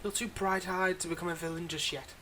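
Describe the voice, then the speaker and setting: A man's voice: sits high, steady tone, fairly quick, sharp tone, slight background noise. A man playing a weary, wry supervillain with a secretly kind side, talking conversationally and a little hesitantly in an intimate roleplay audio monologue. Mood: teasing, fond.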